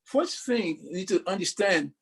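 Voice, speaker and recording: Emphatic Brazilian-accented man, high voice. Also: normal speed, creaky. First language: Portuguese. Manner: animated, emphatic, asks rhetorical questions. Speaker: capoeira and percussion teacher. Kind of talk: panel discussion answer.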